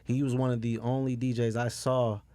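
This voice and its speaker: Male podcast host, energetic and slangy, mid voice, dry studio sound. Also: medium pitch, brisk, no room sound. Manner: animated, slangy, hyped interjections. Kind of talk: casual podcast chat.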